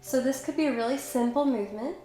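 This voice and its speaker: A young woman with a slight cold, calmly and encouragingly guiding a Pilates workout while she does the moves herself. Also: sits high, quick pace, faint music behind. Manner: calm, encouraging, talks while exercising. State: has a cold, slightly stuffy. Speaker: pilates instructor. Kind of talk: guided workout instruction. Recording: very echoey room, faint background noise.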